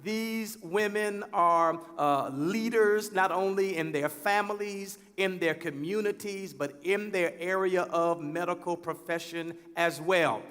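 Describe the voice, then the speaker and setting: A pastor hosting a church panel. His voice sits a little high for a man, and he talks in steady, preacherly phrases broken by "uh." The sound rings with the long reverb of a hall. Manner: measured, preacherly phrasing with fillers. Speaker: pastor and host.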